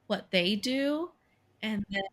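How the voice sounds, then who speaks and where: A woman's voice: mid-range voice, expressive, takes it slowly, fairly bright tone. A woman in her twenties from Taiwan with a North American accent, chatting casually as a podcast co-host, full of fillers and quoted asides. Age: 20s. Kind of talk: casual chat.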